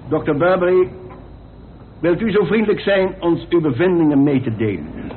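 An actor playing a formal, solemn coroner in a radio play, speaking in a pompous, deliberate, ceremonial way. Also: male voice, a little high, lively intonation, slight background noise, close to an everyday voice. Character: formal, solemn male coroner of the royal household. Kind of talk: radio drama acting, ceremonial speech. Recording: tinny band-limited old radio recording.

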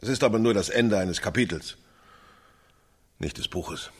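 A man acting a restless bereaved interviewee in a radio drama, with a husky voice. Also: on the low side, moderate pace. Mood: subdued, solemn. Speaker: interviewee in a staged reality radio show.

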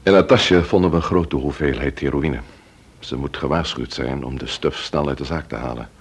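An actor playing a composed male investigator in a radio drama, authoritative yet tender, with a husky voice. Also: a bass voice, moderate pace. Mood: gentle, grave.

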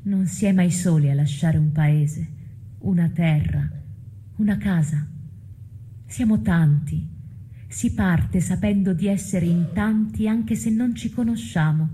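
An actress voicing a consoling, resigned fellow exile in a soft, breathy theatrical address. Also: female voice, on the low side, poor audio.

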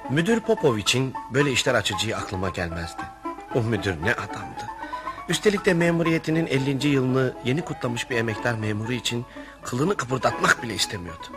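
A man's voice: on the low side, close to an everyday voice. An actor in a radio play voicing an earnest, exasperated male clerk, polite and insistent, who stands up for his friend. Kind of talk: radio theatre acting and first-person narration.

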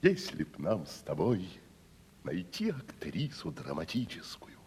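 A proud, down-at-heel tragedian with a very low voice that swells and drops theatrically. He declaims slowly and pompously, savouring his pauses. It is a 1970s radio play, ringing with long reverb. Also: wildly swooping pitch, a little mellow, husky, exaggerated voice. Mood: weary, musing. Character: proud shabby wandering tragedian actor, grandly theatrical. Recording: reverberant radio drama with faint music.

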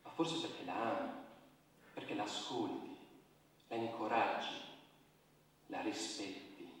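An actor playing a calm, grave man who reproaches gently in measured, quiet theatrical lines. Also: lowish voice. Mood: grave, reproachful. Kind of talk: theatrical dialogue acting. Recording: very echoey hall, distant microphone.

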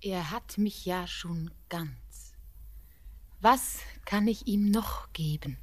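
A breathy, devoted young bride. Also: female voice, fairly low pitch, takes it slowly. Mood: hushed, tender. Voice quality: breathy, often near a whisper. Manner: tender, fervent, poetic delivery. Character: anxious, devoted young bride keeping watch over her beloved. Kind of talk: German radio drama acting.

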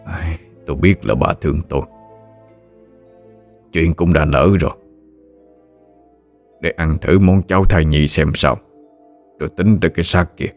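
A man voicing a sick, horrified old husband who stammers in a low, hushed voice. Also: steady tone, unhurried, pauses often, soft background music. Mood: resigned.

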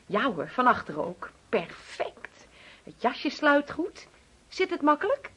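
An actress playing a brisk, doting and domineering wife who fusses and gives orders in a radio play. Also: female voice, high-pitched, very animated, sharp tone, breathy edge, heavily acted. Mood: fussing, approving. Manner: bossy, fussing, cooing. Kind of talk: Dutch radio play acting.

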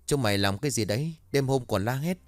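A man's voice: mid-range voice, lively intonation, fast, no echo, pitched above the performer's own voice, natural voice. A man voicing an impatient, swearing older night guard. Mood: exasperated. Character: gruff cursing older male night guard.

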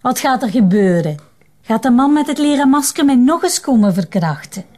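A sarcastic Flemish teenage daughter with a fairly high voice. She taunts and provokes defiantly, in TV drama style. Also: sing-song, normal speed, a little mellow. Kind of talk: TV drama dialogue.

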